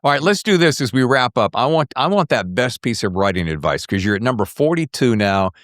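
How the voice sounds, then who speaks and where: A man's voice: medium pitch, sing-song, brisk, fairly bright tone, no echo, clean studio sound. A man hosting a podcast, interviewing in a chatty way with emphatic, showy phrasing. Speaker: podcast host.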